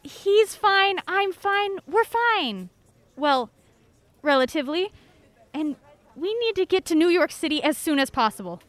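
An actress playing a practical, breezily unflappable traveler with brisk banter in a comic radio drama. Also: female voice, very high-pitched, animated, a bit bright, no room sound, exaggerated voice. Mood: reassuring, practical. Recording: clear vehicle noise behind.

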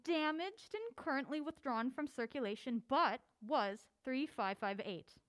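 A woman's voice: high voice, animated, unhurried. An actress playing a mild-mannered film-obsessed woman with dry, wry banter in a naturalistic audio drama. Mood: proud, passionate.

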